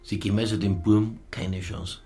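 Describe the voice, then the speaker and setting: An actor playing a gruff, laconic Bavarian police inspector in a radio drama, speaking dryly. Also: male voice, lowish voice, fairly even intonation, moderate pace, dark tone, faint music behind, close to an everyday voice. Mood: dry, unbothered. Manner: laconic, dry. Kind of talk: naturalistic radio drama dialogue.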